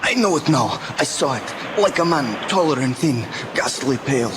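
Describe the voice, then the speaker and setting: An actor playing a crazed, terrified ship's mate in a radio drama, raving in a frantic voice. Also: male voice, mid-range voice, lively intonation, normal speed, close to an everyday voice. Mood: haunted, agitated. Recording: noisy amateur local radio recording.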